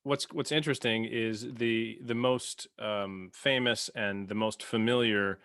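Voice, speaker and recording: Lower-voiced man in casual podcast chat. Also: steady tone, unhurried, a bit bright, clear, resonant tone, no echo, clean studio sound. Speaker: podcast cast member.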